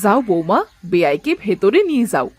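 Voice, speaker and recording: A woman's voice: medium pitch, big pitch swings, normal speed, darkish tone, husky, dry recording. A woman playing an affectionate older mother-in-law in a cartoon family drama. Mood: surprised, excited.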